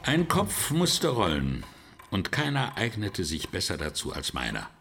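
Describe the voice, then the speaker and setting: An actor playing an older, proud and bitter fallen government minister in a radio drama, gravelly and theatrically self-satisfied. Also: male voice, sits low, huge pitch swings, measured pace, a bit bright, exaggerated voice. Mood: bitter pride.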